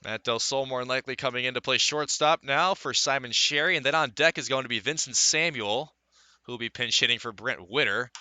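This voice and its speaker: Bright, crisp male baseball announcer. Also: mid-range voice, dry recording. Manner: conversational asides between play calls. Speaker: baseball radio play-by-play announcer. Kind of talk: live sports commentary.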